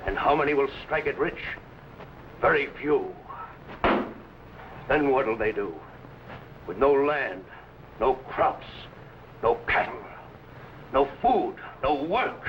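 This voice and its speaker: An actor playing a worried, secretive, commanding landowner in an old adventure drama, speaking in a clipped, urgent way. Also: male voice, medium pitch, expressive, slow pace, long pauses, background noise. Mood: bitter, anxious. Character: worried, secretive, commanding landowner fearing gold will ruin him. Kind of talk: 1950s adventure drama acting. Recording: dull old broadcast, loud music.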